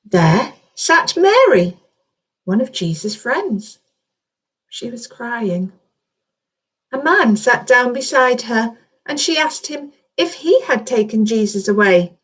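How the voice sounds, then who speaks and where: Slow, high-voiced woman reading to children. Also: husky. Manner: expressive, encouraging, addressing children directly. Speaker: children's author reading own story. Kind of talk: animated children's story reading with asides to young listeners.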